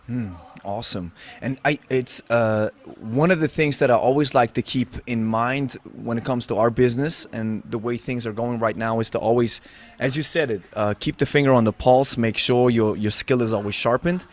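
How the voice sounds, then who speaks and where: A man hosting a podcast interview, speaking in a friendly, halting way with many pauses. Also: medium pitch. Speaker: podcast host.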